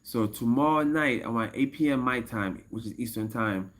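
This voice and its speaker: A man's voice: mid-range voice, moderate pace. A man of fifty-one talking casually to his livestream chat as an MMA coach, rambling and repeating himself and laughing often. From United States. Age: 50s. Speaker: MMA fighter and coach streaming live.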